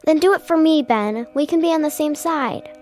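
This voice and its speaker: A woman's voice: high-pitched, normal speed, a little mellow, soft background music, close to an everyday voice. An actress playing Jenny, an eager little girl who coaxes an old friend to play ball, in a radio drama. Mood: pleading. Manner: earnest, coaxing.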